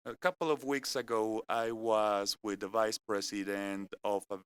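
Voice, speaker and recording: A man from France with a French accent, speaking in English as he gives a measured, earnest business presentation that moves point by point through an agenda. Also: fairly low pitch, steady tone, a bit bright, smooth. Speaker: corporate keynote speaker. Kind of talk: live-streamed business presentation. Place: company innovation center studio.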